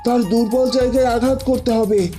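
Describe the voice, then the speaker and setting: A woman voicing a cunning, imperious sorceress in a cartoon fairy tale, speaking with deliberate menace. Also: on the high side, steady tone, dark tone, soft background music, lightly acted. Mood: menacing. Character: commanding female tantric sorceress, cunning villainous mentor.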